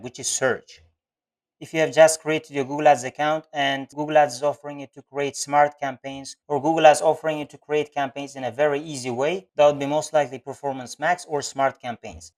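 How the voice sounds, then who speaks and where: A man giving instructional narration for a screen-share tutorial, explaining things step by step as an online tutor. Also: medium pitch.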